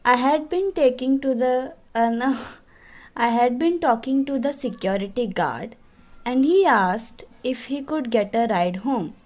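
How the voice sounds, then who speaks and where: A woman co-hosting a podcast, reading a listener's story aloud in a relaxed way with small laughs. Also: on the high side, unhurried. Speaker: podcast co-host.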